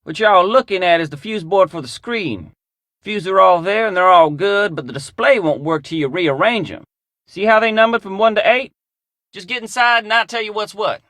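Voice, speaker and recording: An actor playing a folksy, drawling mechanic with a Southern US accent in a video game. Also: male voice, sits high, normal speed, sharp tone, no room sound, studio quality. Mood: instructive. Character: folksy drawling male mechanic, gruff but friendly. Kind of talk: video game character dialogue.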